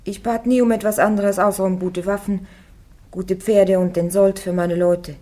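A woman's voice: mid-range voice, flat delivery, moderate pace, dark tone, close to an everyday voice. A woman acting a defiant, devout young warrior on trial in a German radio play, plain-spoken and stubborn. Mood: plain, firm.

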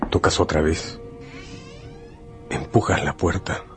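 A male narrator tells a scripted radio drama in a very low voice. His delivery is measured and solemn, set over faint music.

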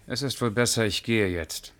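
An actor playing a reserved, ironic, polite man in a 1980s radio drama, dry and restrained, gently teasing. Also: fairly low pitch, moderate pace, natural voice. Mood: guarded.

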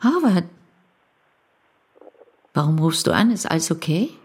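An actress in a radio play voicing a fond, teasing woman on a phone call, with a husky voice. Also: low-pitched, very animated, measured pace, a little mellow, heavily acted. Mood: fond, concerned.

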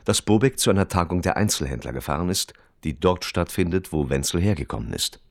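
A man's voice: low voice, lively intonation, brisk, no echo, studio quality. A man playing a radio reporter who narrates a crime case in a formal, precise, matter-of-fact documentary style. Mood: sober, factual.

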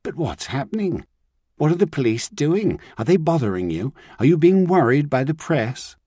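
A man's voice: fairly high pitch, sing-song, moderate pace, dark tone, slightly rough, no room sound, studio quality, pitched above the performer's own voice. A man doing a fretful middle-aged daughter. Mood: anxious. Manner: anxious, questioning.

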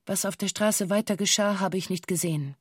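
An actress playing a former bank hostage who gives a formal, precise witness statement in a sober manner for a documentary radio drama. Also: female voice, mid-range voice, brisk, breathy edge, no echo, studio quality, lightly acted. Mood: sober recounting. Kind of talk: documentary radio drama, reading a police testimony.

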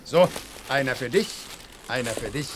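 A man's voice: medium pitch, animated, normal speed, noisy background, lightly acted. An actor playing a mild, affectionate, slightly hapless father in a children's audio play. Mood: fond. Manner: affectionate, a little hesitant.

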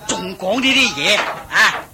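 Wealthy old householder, fussy, boastful, Hong Kong Cantonese accent, lively intonation, radio drama, noticeable background noise. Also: male voice, a little slow, creaky, heavily acted. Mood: agitated, boastful. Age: older. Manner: fretful, boastful, sighing and chuckling. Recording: noisy old radio drama, low rumble.

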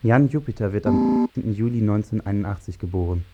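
An actor playing a sombre, poetic narrator in an experimental radio play. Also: male voice, lowish voice, expressive, quick pace, lo-fi sound, background noise, natural voice. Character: narrator of a young man's short life, sombre and poetic.

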